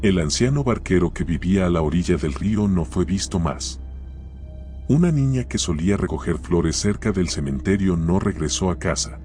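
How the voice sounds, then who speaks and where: Very deep-voiced male horror narrator. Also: warm tone. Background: background music.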